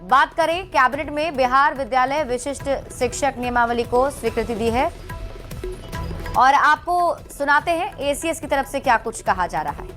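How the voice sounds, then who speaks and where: News presenter, female, high pitch, scripted delivery with background music. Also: normal speed, fairly bright tone.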